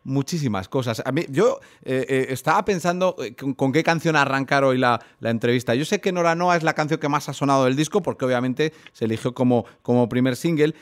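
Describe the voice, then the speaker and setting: A man's voice: mid-range voice, brisk, hardly any pauses. A man hosting a radio interview in a conversational way, wordy and often repeating words as he goes. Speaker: radio host interviewing a musician. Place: radio programme.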